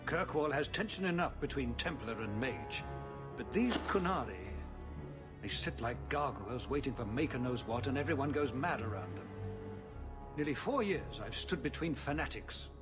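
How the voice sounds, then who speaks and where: An actor playing a burdened middle-aged city ruler in a fantasy game, speaking in a measured, formal, world-weary way. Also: male voice, mid-range voice, expressive, music in the background, close to an everyday voice. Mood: weary, grave. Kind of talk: video game cutscene acting.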